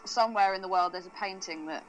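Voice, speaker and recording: A woman's voice: mid-range voice, fast, faint music behind, low-quality recording. A woman co-hosting a podcast in casual chat, teasing and swearing freely as she banters. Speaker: podcast co-host.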